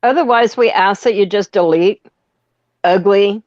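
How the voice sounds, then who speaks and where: A woman co-hosting a casual group chat show, laid-back and quick to laugh. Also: medium pitch, no echo, muffled recording. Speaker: podcast co-host.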